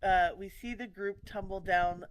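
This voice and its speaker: A woman's voice: medium pitch, steady tone, a little slow, a little hiss or hum, rough recording. A woman narrating a script in an informal read-through, now and then tripping over words and correcting herself.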